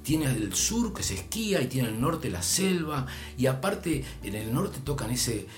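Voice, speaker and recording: An older man from Argentina with an Argentine Spanish accent, telling a long story in a relaxed chat, his voice rising and dropping as he goes. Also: medium pitch, measured pace, faint music behind. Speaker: storyteller guest. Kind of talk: casual anecdote.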